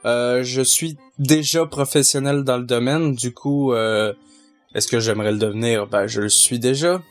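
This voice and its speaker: A young man, a sound student, answering in a measured, matter-of-fact way. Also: mid-range voice, steady tone, soft background music.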